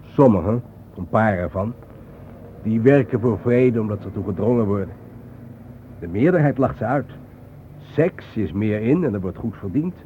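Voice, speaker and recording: An actor playing a solemn, reflective journalist in a radio play, speaking in grave, deliberate, philosophical phrases. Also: male voice, lowish voice, expressive, natural voice. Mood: bitter. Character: solemn, reflective male journalist haunted by war dead. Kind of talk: radio drama acting. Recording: thin phone-like old radio recording, faint noise.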